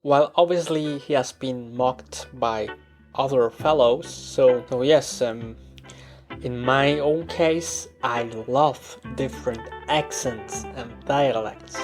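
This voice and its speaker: A man with a Swiss German accent, a native Swiss German speaker, hosting a podcast in a slow, reflective, self-deprecating monologue. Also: medium pitch, soft background music. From Switzerland. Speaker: podcast host.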